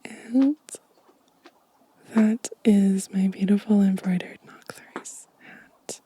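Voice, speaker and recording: A woman giving a gentle, unhurried ASMR show and tell in a soft, mostly whispered, breathy voice, close to the microphone. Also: mid-range voice, a little hiss or hum. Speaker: ASMR video host.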